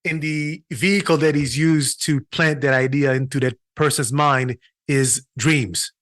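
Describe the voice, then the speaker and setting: A man's voice: fairly high pitch, fairly even intonation, unhurried, a bit bright, dry recording, studio quality. A middle-aged man from Haiti, a physician, telling his story in an earnest way with restarts in a podcast interview. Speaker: physician and patient experience consultant.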